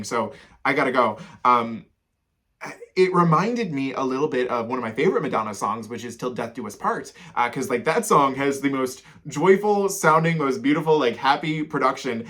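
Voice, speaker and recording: A man's voice: medium pitch, a bit bright. A man in a casual, loosely meandering, conversational style, giving commentary as a music reaction host.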